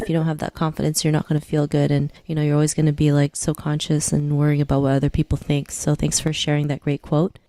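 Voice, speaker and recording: A woman's voice: sits low, warm tone, no echo. A woman hosting a podcast interview, upbeat and encouraging as she welcomes her guest and asks questions. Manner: upbeat, encouraging, scripted intro. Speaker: podcast host.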